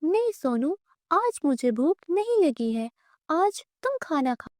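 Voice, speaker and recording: Woman voicing a high-pitched, kind orphan girl. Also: very animated, slow, dry recording, clean studio sound, full character voice. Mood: reassuring. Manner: gentle, earnest, polite. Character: kind, self-sacrificing poor orphan girl, elder sister. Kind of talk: cartoon moral story voice.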